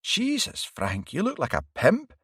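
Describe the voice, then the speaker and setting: A woman's voice: fairly low pitch, big pitch swings, measured pace, dry recording, clean studio sound, voice pushed up. A man voicing a wary young female detective with a blunt, exasperated manner. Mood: alarmed.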